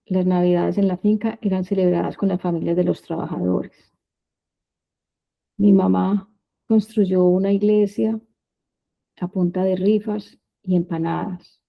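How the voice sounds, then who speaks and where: A woman in her fifties with a Medellín Colombian accent, telling her life story as a prepared talk in measured, short phrases. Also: fairly low pitch, fairly even intonation, vocal fry, muffled recording. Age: 50s.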